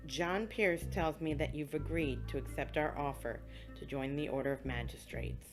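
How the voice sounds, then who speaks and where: Actress as a deep-voiced formal official. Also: female voice, big pitch swings, normal speed, over music. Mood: businesslike. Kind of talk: audio drama acting.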